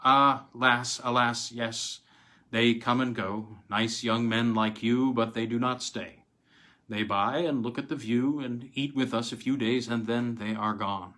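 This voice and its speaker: A man's voice: medium pitch, steady tone, a little slow, bright, forceful tone. A man reading a tale voices an old Italian peasant manservant who answers simply and with resignation. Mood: resigned, humble.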